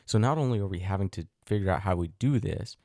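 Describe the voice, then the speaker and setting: A man's voice: low-pitched, fast, a little mellow, dry recording, studio quality. A middle-aged man hosting a solo podcast, talking thoughtfully and asking himself rhetorical questions, with frequent fillers. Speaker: podcast host. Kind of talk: reflective solo podcast monologue.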